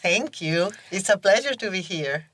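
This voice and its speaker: A woman's voice: medium pitch, lively intonation, normal speed, fairly bright tone. A woman in her fifties from southern Spain with a Spanish accent, chatting casually as a guest in an interview. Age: 50s. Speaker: podcast guest, professor.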